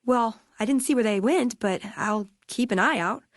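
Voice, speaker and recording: A woman's voice: fairly high pitch, dry recording, studio quality, natural voice. An actress playing a witty, eager young woman scribe and scavenger in a video game, with a wry, chatty manner. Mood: feigned surprise. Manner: wry, chatty, quick to joke. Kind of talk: video game character dialogue.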